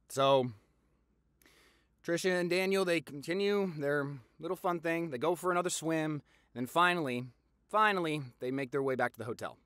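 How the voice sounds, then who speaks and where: A man's voice: on the high side, no echo, natural voice. Gossipy young-sounding boyfriend, acted by a man, close and dry. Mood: incredulous, dramatic. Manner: animated storytelling, dramatic pauses, repeats for emphasis. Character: popular boyfriend gleefully spilling juicy gossip to partner. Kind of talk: audio roleplay, conversational monologue.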